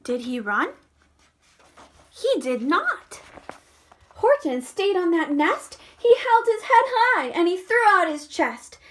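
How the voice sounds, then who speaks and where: A woman, a first grade teacher, reading a storybook expressively to young children, with dramatic delivery and hushed asides. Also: very high-pitched, slow, long pauses. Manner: animated, dramatic, drops into hushed whispered asides.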